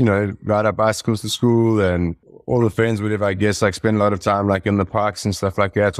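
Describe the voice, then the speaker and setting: Deep-voiced South African man, relaxed. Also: no echo, studio quality. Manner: relaxed, rambling, many ums and fillers. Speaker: wildlife guide, podcast guest. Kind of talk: casual conversation. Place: home on a wildlife estate.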